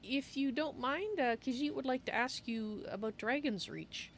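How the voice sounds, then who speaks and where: A woman's voice: high-pitched, normal speed, a little hiss or hum, voice pushed up. A woman voicing a polite, hesitant cat-folk adventurer from a fantasy game, with a wry manner. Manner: speaks of herself in third person, hesitant, wry.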